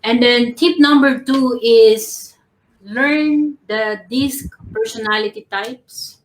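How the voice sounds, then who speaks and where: A woman from the Philippines, speaking as a coach on a live panel. Her voice sits a little high and moves slowly and deliberately, with a dry ironic edge. The sound is roomy and echoey, as if caught on a call from a bare room. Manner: slow, measured, ironic with small laughs. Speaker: professional coach, panel guest. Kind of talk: panel talk giving tips.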